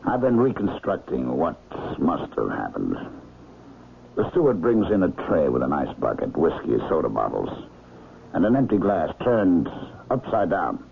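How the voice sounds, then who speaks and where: A muffled, hissy old radio mystery recording carries a thoughtful, loyal doctor friend. His voice is very low and swings expressively as he probes with deliberate care. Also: creaky, heavily acted. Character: thoughtful, loyal middle-aged doctor friend, amateur sleuth. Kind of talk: radio mystery drama acting.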